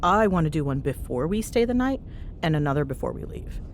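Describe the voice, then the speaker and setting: A woman's voice: sing-song, brisk. A woman playing a teasing, bossy but fond young ghost hunter in an intimate ASMR roleplay, bantering sarcastically in a casual, murmuring way. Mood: casual, reassuring. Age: young adult. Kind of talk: ASMR audio roleplay, intimate one-sided chat. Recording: clear background noise with low rumble.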